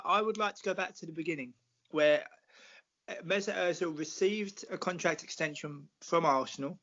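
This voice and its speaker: A British man in his forties, a podcast guest talking football at length in a measured, explanatory way with many fillers. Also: a little high, fairly bright tone, highs cut off. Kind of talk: casual podcast chat.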